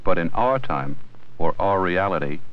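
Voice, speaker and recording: Low-voiced narrator, vintage educational film. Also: male voice, lively intonation, moderate pace. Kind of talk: scripted instructional narration. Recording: dull vintage 16mm film soundtrack.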